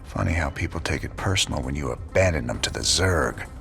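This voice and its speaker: An actor playing a world-weary, principled middle-aged rebel commander with a laconic, folksy Southern US drawl in a video game. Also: male voice, expressive, fairly quick, a bit bright, faint music behind, heavily acted. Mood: dry, grim. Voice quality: low, gravelly. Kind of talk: video game cinematic acting.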